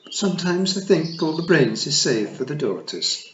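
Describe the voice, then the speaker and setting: A man's voice: a little high, sing-song, moderate pace, pitched above the performer's own voice. A man doing a tiny old Scottish pixie matriarch. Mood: fond. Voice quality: broad Scots burr. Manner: warm, teasing and commanding. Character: tiny old dying female pixie chieftain, shrewd and wry. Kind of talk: storybook reading. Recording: roomy, slightly dull recording.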